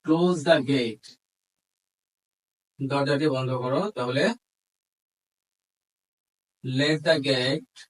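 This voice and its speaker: A man's voice: mid-range voice, fairly even intonation, extremely slow, long pauses, rough recording. A man with a Bangladeshi accent giving an instructional grammar lesson as a teacher, methodically dictating examples word by word. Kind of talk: instructional lesson mixing Bengali explanation with English examples.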